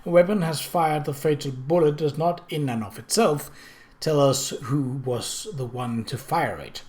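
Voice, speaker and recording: A man voicing an analytical, self-assured gentleman investigator in an audiobook, speaking in a formal, methodical, thoughtful way. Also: fairly high pitch, unhurried, close to an everyday voice. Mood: explanatory. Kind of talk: audiobook character dialogue.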